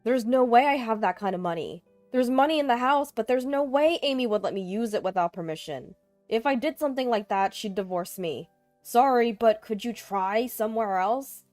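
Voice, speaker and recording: A woman's voice: medium pitch, lively intonation, normal speed, a little mellow, no echo, faint music behind, lightly acted. A woman voicing a callous, selfish husband making dismissive, whiny excuses.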